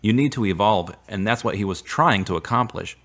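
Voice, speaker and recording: A man playing an earnest, devout young man in a radio drama, with measured, formal, preachy phrasing. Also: fairly low pitch, fairly bright tone. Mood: solemn, assured.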